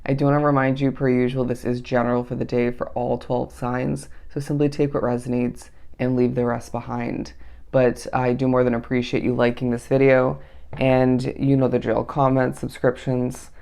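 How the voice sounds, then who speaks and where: A tarot reader giving a casual daily reading, with a raspy, tired voice in the small hours, musing aloud and often checking in with the listener. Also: medium pitch, little pitch movement, moderate pace, a little mellow. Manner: meandering, musing, often asks the listener "okay?". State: tired, up at three in the morning.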